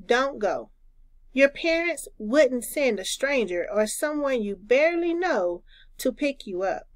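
A woman's voice: sits high, sing-song, unhurried, no echo. A woman voicing a sensible older boy who patiently instructs, in a children's storybook. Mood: instructive. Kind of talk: children's storybook read-aloud.

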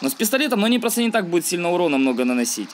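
A man's voice: high-pitched, sing-song, rapid-fire, a little hiss or hum. A man giving casual, slangy let's-play commentary, thinking aloud and grumbling as he plays. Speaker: gaming video host.

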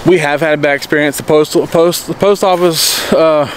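A man's voice: a little high, fairly even intonation, moderate pace, slight background noise. A man in his thirties talking casually to a handheld camera in his backyard, rambling with restarts and often asking "okay?". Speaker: homesteading vlogger. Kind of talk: casual explainer vlog. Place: backyard outdoors. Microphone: handheld camera at arm's length.

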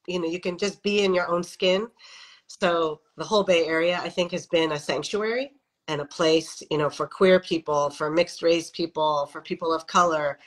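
A woman's voice: fairly low pitch, poor audio. A middle-aged woman from the Midwest, a faith leader speaking as a guest in a casual interview over a video call, reflective and conversational, with many "you know" fillers. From American Midwest. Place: home office with windows, indoors. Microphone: video call webcam.

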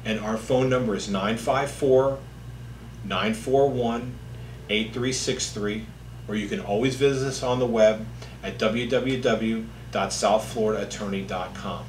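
Echoey, low-voiced middle-aged man. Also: steady tone, measured pace. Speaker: lawyer. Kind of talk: informal legal explainer to camera. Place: indoor office, seated at a distance from the camera. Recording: echoey room with faint hum.

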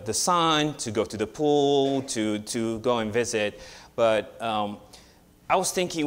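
A man in his thirties from Romania, with a Romanian accent, giving a chatty, story-filled guest sermon from a church pulpit. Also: medium pitch. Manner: chatty, storytelling, with frequent restarts and growing excitement. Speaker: guest preacher.

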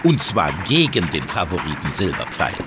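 Police inspector: low, very animated delivery, smug and accusatory, audio drama interrogation over faint noise. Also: male voice, moderate pace, over music. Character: triumphant, hectoring male police inspector interrogating suspect.

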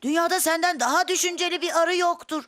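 A woman's voice: extremely high pitch, quick pace, noisy background. A woman voicing a sleepy, loyal, good-hearted young boy bee in a children's cartoon, childlike in manner. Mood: loyal, indignant. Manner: childlike, startled interjections. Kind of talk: dubbed children's cartoon acting. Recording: music behind the voice. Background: music.

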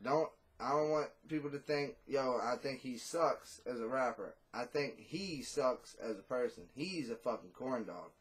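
A man's voice: mid-range voice, lively intonation, moderate pace, hardly any pauses. A man in his thirties, a rapper talking casually and bluntly in an interview, cursing freely, on a video call from a small room at home. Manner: blunt, profane, laid-back.

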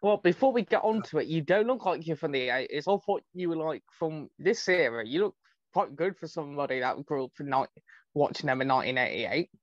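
A man's voice: on the high side, no echo. Opgewonden jonge man, doffe droge opname. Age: 20s. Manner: excited, rapid fan chatter. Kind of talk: casual podcast chat. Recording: dull, dry lo-fi sound.

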